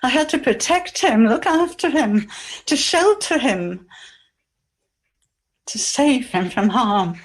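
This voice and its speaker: A woman playing a grieving, devoted biblical woman, tender and anguished, in a halting, emotional dramatic monologue for church. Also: sits high, sing-song, exaggerated voice. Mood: aching, protective.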